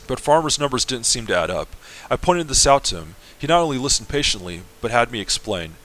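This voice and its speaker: A man's voice: medium pitch, sing-song, brisk, fairly bright tone. A man reading a letter from an earnest fifteen-year-old bride to her mother. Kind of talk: semi-dramatic reading of a game.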